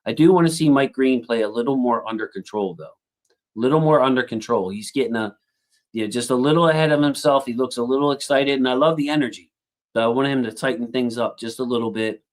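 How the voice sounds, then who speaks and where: A man hosting a casual football livestream, reading out viewer comments and thinking aloud with lots of fillers, and his voice has a slightly squeaky edge. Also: fast talker. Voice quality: self-described squeaky voice. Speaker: football channel host reading live chat.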